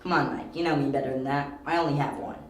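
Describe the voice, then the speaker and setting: A man's voice: a little high, steady tone, quick pace, natural voice. A man playing a young, confident detective in an amateur audio drama, explaining things precisely. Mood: assured. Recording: roomy amateur home recording.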